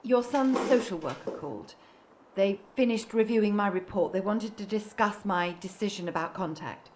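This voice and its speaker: An actress playing a concerned woman support worker in a radio drama, measured and hesitant in her delivery. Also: medium pitch, background noise, lightly acted. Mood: guarded, concerned. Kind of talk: naturalistic radio drama acting.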